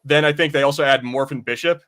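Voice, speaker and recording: A man's voice: fairly high pitch, steady tone, quick pace, a bit bright. A man co-hosting a podcast, explaining things in a rambling, informal, sweary way during casual chat. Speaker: podcast co-host.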